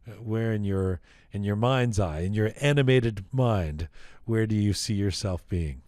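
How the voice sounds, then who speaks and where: A man with a low voice interviews a guest on a podcast. He speaks effusively and repeats himself as he goes. The sound is close and dry. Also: measured pace, a little mellow, dry recording. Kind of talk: conversational interview.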